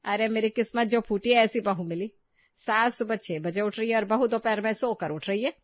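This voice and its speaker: A woman voicing a bossy, sarcastic middle-aged mother-in-law in a family story, nagging theatrically and loudly. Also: fairly high pitch, normal speed, no echo, lo-fi sound, close to an everyday voice. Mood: sarcastic, aggrieved.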